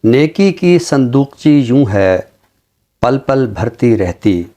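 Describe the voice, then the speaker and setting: A middle-aged Pakistani man speaking in a formal, deliberate way, as a literary commentator on a talk show. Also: medium pitch, very animated, warm tone. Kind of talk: reflective commentary and poetry recitation on a literary show.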